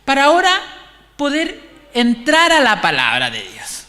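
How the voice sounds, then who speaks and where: A man with a Chilean accent giving an informal, conversational sermon, haltingly repeating and restarting his phrases. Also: extremely high pitch, wildly swooping pitch, sharp tone, soft background music. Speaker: preacher. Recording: echoey hall, long reverb.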